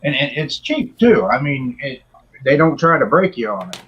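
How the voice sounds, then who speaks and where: A man's voice: medium pitch, sing-song, normal speed, slightly rough, lo-fi sound. A man co-hosting a live chat who talks in an easygoing, rambling way. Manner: easygoing, talkative. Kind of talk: casual chat.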